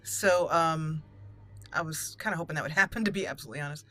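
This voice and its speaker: A woman with a bright, forward voice narrates her fantasy game playthrough. She moves between casual commentary and formal, deliberate roleplay speech, with game music behind her. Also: sits low. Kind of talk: let's play commentary with in-character dialogue.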